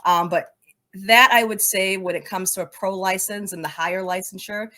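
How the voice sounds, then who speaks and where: A woman's voice: mid-range voice, fairly even intonation, normal speed, bright, forceful tone. A woman speaking as an interview guest in a conversational, emphatic way, repeating words to drive points home. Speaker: podcast guest, soccer coaching advocate.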